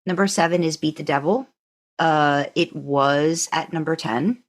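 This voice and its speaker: A woman with a low voice co-hosts a fan podcast. She talks casually and works through a ranking, leaning on repeated words to make her point. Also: unhurried. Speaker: podcast co-host.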